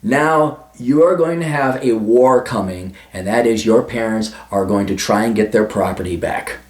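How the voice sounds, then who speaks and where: A man's voice: on the low side, normal speed. A man giving crude, emphatic advice to a listener and swearing freely. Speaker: advice vlogger answering a listener letter. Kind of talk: blunt, profane advice monologue. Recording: roomy echo.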